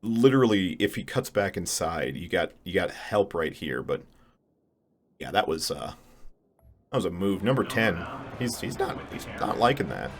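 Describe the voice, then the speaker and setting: A man's voice: low-pitched, big pitch swings, moderate pace, slight background noise. A man giving casual, mumbly, self-deprecating commentary while playing a video game. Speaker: gaming channel host. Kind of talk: casual let's-play commentary.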